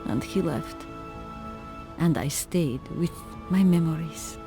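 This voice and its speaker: A woman's voice: low voice, takes it slowly. An actress playing a melancholy old woman, a faded star, speaking mournfully and theatrically in a video game. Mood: nostalgic. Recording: music under the voice. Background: music.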